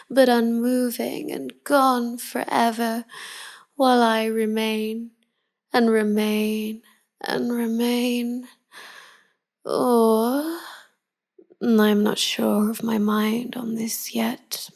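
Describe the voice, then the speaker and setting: A woman voicing a tender, melancholy immortal vampire wife in soft, breathy half-whispers, murmuring endearments in an intimate bedtime audio roleplay. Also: on the high side. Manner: slow, gentle, murmured endearments. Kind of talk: intimate pillow-talk ASMR roleplay.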